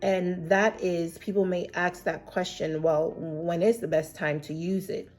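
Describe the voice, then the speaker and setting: A woman with a fairly low voice that sounds a little stuffed up from a cold, talking casually but earnestly to her viewers. She gives encouraging study advice, glancing at her notes as she speaks. Faint music sits under her voice. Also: steady tone, unhurried, a little mellow. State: slightly congested from a cold. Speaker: exam prep vlogger and mentor. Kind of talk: casual advice vlog to camera. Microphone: camera facing her, laptop beside.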